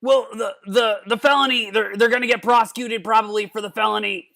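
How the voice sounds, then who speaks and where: A man voicing a belligerent, drunken old mad scientist in a cartoon-style comedic reading, gravelly and stammering, repeating himself and cursing. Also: high voice, fairly even intonation, brisk, pushed and bright, full character voice. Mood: agitated, boastful. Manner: stammering, repeating words, profane.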